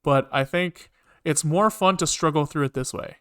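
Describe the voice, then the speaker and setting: A man casually live-coding on a stream, thinking aloud in halting, muttered bits as he works. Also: on the high side, fairly bright tone, clear, resonant tone. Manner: halting, muttering to himself while working. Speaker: programming livestreamer.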